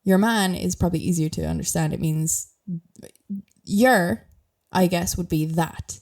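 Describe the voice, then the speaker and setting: A woman's voice: fairly low pitch, unhurried, darkish tone. A young Irish woman with a mild Irish accent hosting a podcast, chatting casually while sick with a cough and laughing often as she explains things. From Ireland. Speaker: podcast host.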